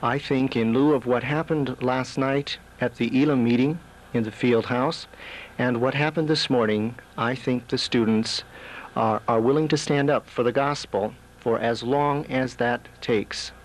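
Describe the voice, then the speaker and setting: A young American man giving earnest, deliberate answers in a news interview. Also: mid-range voice, steady tone, poor audio. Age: young adult. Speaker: student interviewee. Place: indoors in a small room. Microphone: handheld reporter's microphone.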